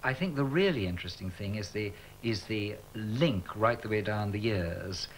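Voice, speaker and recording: Male panto performer, lowish, keen, interview. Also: expressive, moderate pace, noisy background. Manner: enthusiastic, thoughtful.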